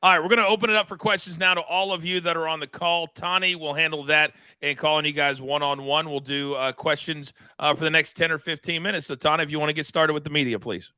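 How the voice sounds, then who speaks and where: Very bright, fast-talking male moderator. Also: a little high, steady tone, smooth, no echo. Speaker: press call moderator. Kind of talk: hosting a conference call.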